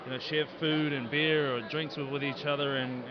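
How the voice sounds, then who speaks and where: A man from New Zealand with a New Zealand accent, a rugby captain being interviewed outdoors, easygoing and quick to laugh. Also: a little high, level tone, lo-fi sound, noisy background. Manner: easygoing, laughs often, optimistic.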